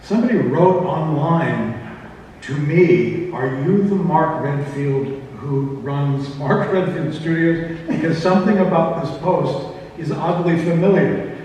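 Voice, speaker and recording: A man's voice: on the high side, a little slow, warm tone. A man talking casually as a panelist in a large convention room. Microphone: distant from the speaker. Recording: very echoey hall, distant mic, faint noise.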